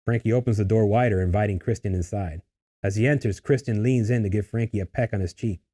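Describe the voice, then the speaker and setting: A man's voice: fairly low pitch, fast, mellow. A man narrating a screenplay, reading out scene headings and stage directions as scripted narration.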